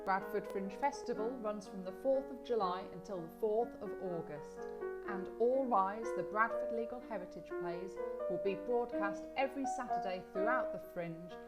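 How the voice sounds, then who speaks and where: A woman's voice: medium pitch, moderate pace. A woman announcer reading scripted credits for a radio drama. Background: piano music.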